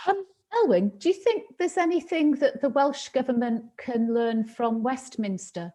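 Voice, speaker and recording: A woman's voice: high-pitched, animated, unhurried, mellow. A woman hosting, asking questions and rephrasing them as she searches for words. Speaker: host. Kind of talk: interview questions.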